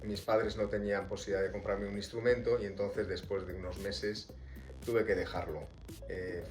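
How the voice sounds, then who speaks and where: A man from Spain, a clarinetist, recalling his early years in an unhurried storytelling way with fillers. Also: fairly low pitch. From Spain (born Pontevedra, raised Madrid). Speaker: clarinetist in a quartet. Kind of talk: interview storytelling.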